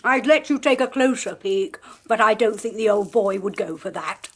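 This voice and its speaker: A woman with an English accent playing a brisk, bossy medium who teases her sister in a radio drama. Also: medium pitch, a little hiss or hum. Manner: brisk, bossy, jolly, teasing. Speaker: medium and clairvoyant. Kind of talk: 1980s radio drama acting.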